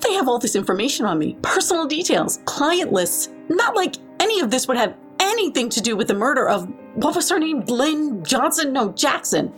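A woman's voice: sits high, sing-song, moderate pace, few pauses, fairly bright tone, soft background music. A woman playing a defensive, rattled professional caregiver in an audio drama, earnest and emphatic. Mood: defensive, indignant. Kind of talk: naturalistic audio drama acting.

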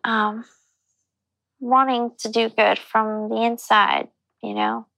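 30 yr old woman, super creaky voice, lots of ums, higher pitch, dry sound. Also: flat delivery, pushed and bright, no echo, poor audio. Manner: hesitant, halting. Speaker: interviewee.

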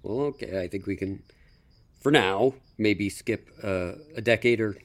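An actor playing a patient, tactfully puzzled therapist, hesitant and gently probing in a naturalistic audio drama. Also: male voice, lowish voice, unhurried, close to an everyday voice. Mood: gently steering.

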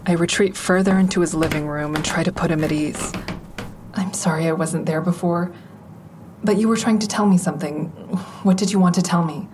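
A woman's voice: fairly low pitch, steady tone, a little fast, noisy background, lightly acted. An actress playing a tense, watchful woman who narrates her own troubled story in an audio drama. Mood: anxious, gentle. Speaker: narrator and lead actor in a fiction podcast. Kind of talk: first-person dramatic narration.

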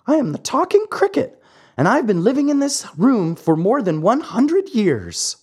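Lady putting on a high, breathy old cricket guy, calm and preachy, storybook voice. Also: moderate pace, exaggerated voice. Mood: solemn. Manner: calm, lecturing.

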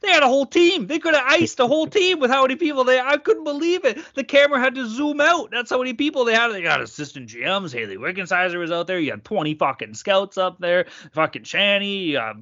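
Bright-voiced, swearing ranting man. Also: very high voice, animated, quick pace, few pauses. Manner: profane ranting, lots of swearing. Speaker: podcast co-host. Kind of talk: casual sports chat. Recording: slightly dull lo-fi audio.

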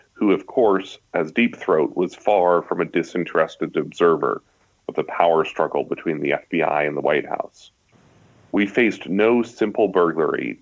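An American man with a deep voice reads from a nonfiction book as a guest author. The sound is dry, with little room around it, and slightly muffled in fidelity. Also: gravelly, dry recording. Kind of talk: reading a nonfiction book passage aloud. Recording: slightly dull, lo-fi audio.

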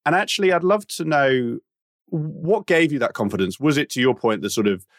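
A man's voice: a little high, lively intonation, moderate pace, dry recording, studio quality. A man hosting an interview, asking long, winding questions with fillers. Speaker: podcast host.

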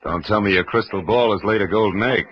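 A man's voice: lowish voice, quick pace, breathy edge. A man with an American accent playing a wry private detective in 1940s radio style, with dry, sardonic delivery. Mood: smooth, teasing. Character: wry, hard-boiled male private detective. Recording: dull restored old radio recording.

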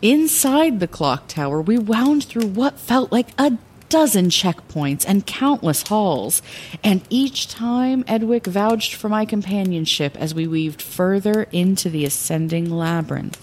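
Actress as wry lighthouse keeper narrator, slow-paced, expressive fantasy audio-drama storytelling. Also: female voice, medium pitch, slight background noise, close to an everyday voice. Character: wry lighthouse keeper retelling her adventurous past. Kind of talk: scripted first-person fantasy storytelling.

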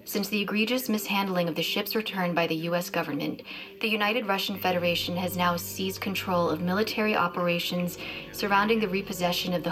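A woman playing a formal, solemn news anchor in audio fiction, reading crisply. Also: lowish voice, lightly acted.

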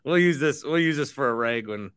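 A man's voice: mid-range voice, animated, brisk, fairly bright tone, smooth. A man co-hosting a casual sports podcast with a dry, joking way of talking. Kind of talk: casual sports chat with sponsor reads.

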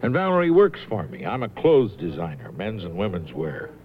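A suave clothes designer in an old radio play. His voice is fairly low with lively swings, composed and politely evasive, on a dull recording. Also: normal speed. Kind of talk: radio drama acting.

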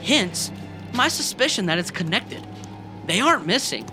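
Eager office guy obsessed with cracking a case, swoopy dramatic delivery, audio drama, clear music behind. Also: high-pitched, big pitch swings, moderate pace. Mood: intrigued, conspiratorial. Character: keen office colleague and amateur sleuth chasing a serial killer. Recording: music under the voice.

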